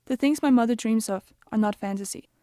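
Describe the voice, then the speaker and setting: A young woman with a Bosnian accent reads her own story in an earnest, measured way. Her voice sits a little high and sounds mellow, and the recording is dry and close. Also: no room sound. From Denmark. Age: young adult. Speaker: youth activist. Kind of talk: scripted personal narration.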